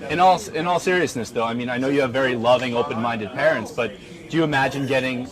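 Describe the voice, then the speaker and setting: A man's voice: medium pitch, quick pace, rough recording. Mock-serious male interviewer in a crowd. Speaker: interviewer on a radio show. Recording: busy crowd noise behind voice. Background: crowd chatter.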